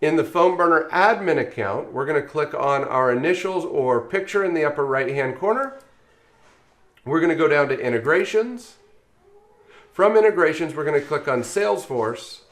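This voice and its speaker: A man narrating an instructional screencast as a software trainer, explaining things step by step. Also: fairly high pitch, expressive, moderate pace. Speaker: software trainer presenting a tutorial. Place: voice-over on a screen recording.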